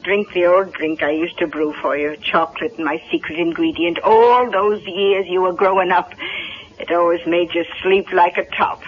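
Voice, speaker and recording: A man's voice: sits high, fairly even intonation, moderate pace, close to an everyday voice. A man playing a fussing, affectionate old family servant in a 1970s radio drama. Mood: fond, coaxing. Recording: dull, faintly noisy vintage radio recording.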